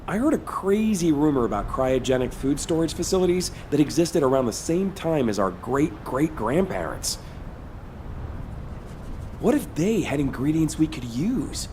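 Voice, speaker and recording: An actor playing a keen, practical young soldier in a video game, talking in an earnest, chatty way. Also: male voice, fairly high pitch, sing-song, normal speed, a little mellow, a little hiss or hum. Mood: earnest, curious.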